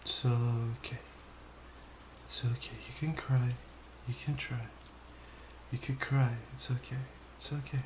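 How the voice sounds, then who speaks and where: Man playing doting young boyfriend, soft soothing delivery, unhurried pace, intimate ASMR roleplay, roomy echo, faint rain ambience. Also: mid-range voice, fairly even intonation, background noise, close to an everyday voice. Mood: tender reassurance. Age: young adult. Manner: soft, slow, soothing, repeats reassurances. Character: tender, doting young boyfriend comforting his partner. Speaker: boyfriend roleplay audio performer. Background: rain sounds.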